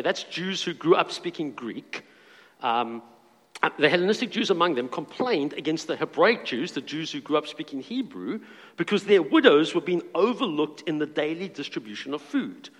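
A man's voice: a little high, a bit bright, in a large hall. A man preaching a sermon as pastor, reading scripture aloud and adding earnest asides.

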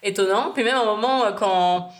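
Young female co-host, mid-pitched, slangy conversational delivery with English phrases mixed in. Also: a little fast. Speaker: podcast co-host. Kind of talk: casual chat about a TV series.

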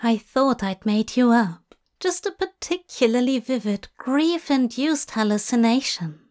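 Gentle, careful male angel, read by a woman, soft and mild, slightly high. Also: lively intonation, unhurried. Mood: tender.

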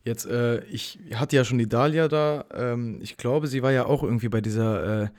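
A man's voice: medium pitch, normal speed, darkish tone. A man hosting a casual interview podcast, asking rambling, hesitant questions with many fillers. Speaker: podcast host.

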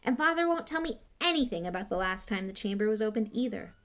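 A woman's voice: mid-range voice, lively intonation, quick pace, fairly bright tone, close to an everyday voice. A woman voicing a spoiled, arrogant schoolboy bully in an audiobook, scornful, impatient and boastful. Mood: irritated, gloating. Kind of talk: audiobook character voice.

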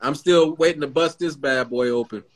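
A man's voice: on the high side, normal speed. A man from Detroit chatting casually and joking with friends on a podcast, laughing often and swearing freely. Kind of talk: casual chat.

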